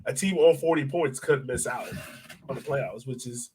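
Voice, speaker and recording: A man's voice: medium pitch, normal speed, a little mellow, a little hiss or hum. A man co-hosting a soccer podcast in casual, slangy, conversational sports chat.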